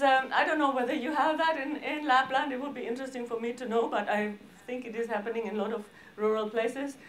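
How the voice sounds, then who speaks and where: Woman giving a slide talk, informal, lots of hesitating and broken sentences, medium pitch. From Austria. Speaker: arts organisation director presenting projects. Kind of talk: seminar presentation with slides.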